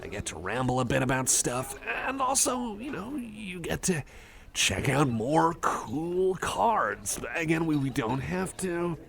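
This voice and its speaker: A man's voice: on the high side, very animated, unhurried, vocal fry, fairly bright tone, voice pushed up, full character voice. A man voicing a shy, nerdy, eager cyborg card collector in an ASMR roleplay, chatting casually in a hesitant, rambling, apologetic way. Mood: hesitant, hopeful. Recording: cafe crowd murmur behind the voice.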